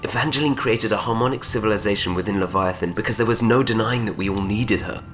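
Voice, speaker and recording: An actor playing a solemn, insistent advisor in an audio drama, speaking formally and persuasively. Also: male voice, lowish voice, fast, a bit bright, faint music behind, natural voice. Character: solemn, insistent male advisor to an immortal society's future queen.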